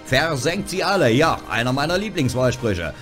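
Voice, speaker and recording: Wry German gaming news host. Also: male voice, mid-range voice, sing-song, moderate pace, fairly bright tone. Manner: wry, joking asides. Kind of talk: scripted game news voice-over. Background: background music.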